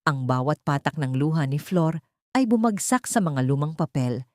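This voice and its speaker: A woman's voice: low-pitched, expressive, normal speed, darkish tone, no room sound, studio quality. A woman storyteller reading a scripted dramatic audio story.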